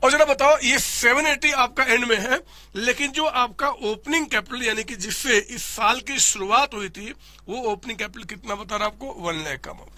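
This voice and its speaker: Hoarse, animated male teacher. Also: very high-pitched, moderate pace, dry recording. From India. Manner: animated, playful, addresses students as "my child"; voices students' questions himself. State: hoarse with a cold. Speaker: accounting teacher. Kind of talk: classroom lecture.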